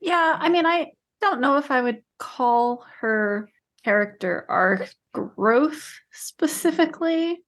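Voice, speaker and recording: A woman co-hosting a podcast, discussing books casually in a measured, questioning way. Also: high-pitched, expressive, a bit bright. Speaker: podcast co-host.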